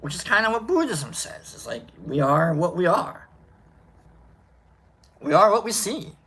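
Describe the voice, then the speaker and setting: A livestream host chatting casually in a rambling, filler-heavy way, giving affectionate shout-outs to viewers. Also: mid-range voice, big pitch swings, unhurried, breathy edge.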